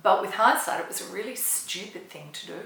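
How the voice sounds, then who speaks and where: A woman's voice: mid-range voice, lively intonation, a little fast, creaky, fairly bright tone, very echoey. A woman from Sydney, earnestly recounting a frightening personal experience. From Sydney, Australia. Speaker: storyteller. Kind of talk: personal story told to camera.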